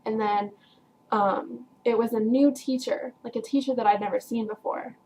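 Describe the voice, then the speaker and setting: A woman's voice: on the high side, fairly even intonation, moderate pace, darkish tone, a little hiss or hum, rough recording. A teenage girl casually telling a long story to her viewers, rambling with lots of filler words and breaking into laughs. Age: teens. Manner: chatty, rambling, heavy use of "like" and "um"; frequent laughs while telling. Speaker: vlogger.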